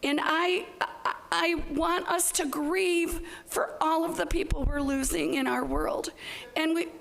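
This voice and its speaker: A woman giving a halting, plain-spoken public comment, partly read out, at a council meeting. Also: very high-pitched, fairly even intonation, vocal fry, a bit bright.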